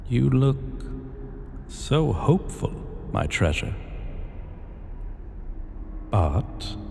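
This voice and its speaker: An imperious dragon king, acted by a man, speaks very slowly in a low voice, formal and commanding. He is possessive and seductive with menace underneath, in a fantasy audio roleplay, and his words ring in a long cavernous reverb. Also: exaggerated voice. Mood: wooing. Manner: slow, commanding, formal, deliberate. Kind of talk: ASMR fantasy roleplay acting. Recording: long cavernous reverb, clear background noise.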